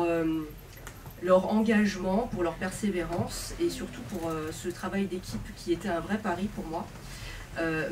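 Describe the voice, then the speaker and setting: A woman's voice: lowish voice, a little mellow. Polite woman moderator, far from mic. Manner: polite, formal, halting. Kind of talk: hosting a Q&A. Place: bookshop. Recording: distant mic with background noise.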